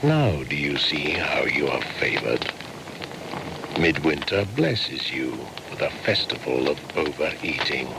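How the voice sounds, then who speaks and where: Under loud atmospheric noise, an extremely deep male voice speaks as an ancient shaman spirit. He is ominous and proud, chanting his radio-play monologue slowly and solemnly, at times sinking toward a whisper. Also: sing-song, exaggerated voice. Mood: grim. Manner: slow, incantatory, solemn. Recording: heavy background noise and ambience.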